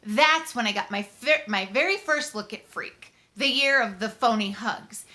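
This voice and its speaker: Slow, bright, high-pitched woman telling a story. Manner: conversational, dramatic emphasis with drawn-out words. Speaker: audiobook reader. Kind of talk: expressive first-person story narration.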